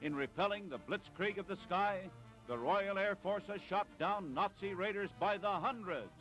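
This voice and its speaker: Dramatic high-pitched 1940s newsreel man. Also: normal speed, fairly bright tone, rough recording. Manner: dramatic, declamatory, clipped phrases. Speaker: newsreel narrator. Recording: vintage 1940s newsreel soundtrack with music.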